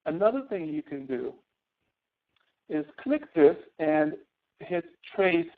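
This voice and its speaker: Male tutorial instructor, slightly high-pitched, informal and halting, heard through narrow phone-like audio. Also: very animated. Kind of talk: spreadsheet tutorial, live online lesson.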